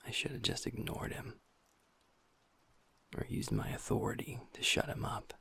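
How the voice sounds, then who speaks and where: Man playing a quiet, gravelly werewolf boyfriend. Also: medium pitch, moderate pace, in a whisper, heavily acted. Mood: subdued. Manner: soft, hushed, intimate talk to a partner. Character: gentle young male werewolf boyfriend, pack beta, guilt-ridden. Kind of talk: audio roleplay.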